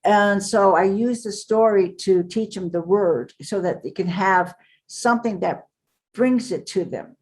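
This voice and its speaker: A woman's voice: mid-range voice, measured pace, darkish tone. An older woman, a book author, talking in a casual interview, earnest and rambling with many fillers. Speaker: author and guest.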